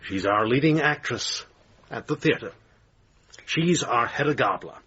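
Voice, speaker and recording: A man acting in a radio drama as a bitter, needling, self-pitying theatre manager and lover, with a husky voice. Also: mid-range voice, animated, unhurried, pushed and bright, muffled recording, exaggerated voice. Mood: insistent, defensive.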